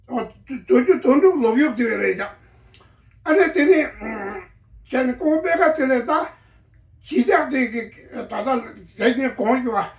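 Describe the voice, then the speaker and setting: A woman giving a halting spoken account, with many pauses and restarts and a voice that sometimes breaks with emotion. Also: high voice. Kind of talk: spontaneous personal account. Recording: echoey room, voice from a distance.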